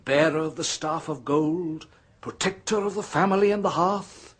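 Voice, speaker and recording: An actor playing a scholarly male history professor in a radio drama, hesitant at first and then rising to hushed intensity. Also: a little high, moderate pace, breathy edge. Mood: earnest. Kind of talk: 1970s radio drama acting.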